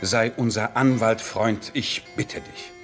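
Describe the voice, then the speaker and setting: An actor playing a passionate, headstrong nobleman in love, husky and theatrical, in a 1970s German radio drama. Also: fairly low pitch, steady tone, slow pace, over loud music. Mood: fervent. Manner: impassioned, theatrical, swinging from hushed to ringing.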